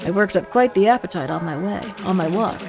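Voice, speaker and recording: A woman's voice: medium pitch, brisk, voice pushed down, lightly acted. A woman voicing a chatty, hungry farmhouse character from a horror farming game, with polite, quaintly formal talk. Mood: cheerful, polite. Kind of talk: game dialogue read aloud in character. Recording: game music behind.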